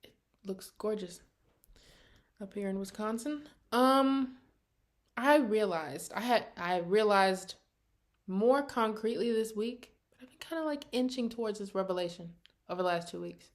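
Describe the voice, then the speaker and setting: An American woman in her early twenties chatting casually to her vlog viewers in an animated, sassy, slangy storytelling way. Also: medium pitch, unhurried. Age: early 20s. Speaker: vlogger.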